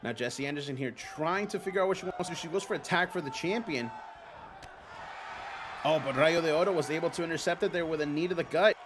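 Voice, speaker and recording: A man whose first language is Spanish, hosting a live stream and commentating a simulated wrestling game in an excitable, chatty way. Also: a little high, quick pace, a crowd in the background. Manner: excitable, chatty, reads viewer comments aloud. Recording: noisy background.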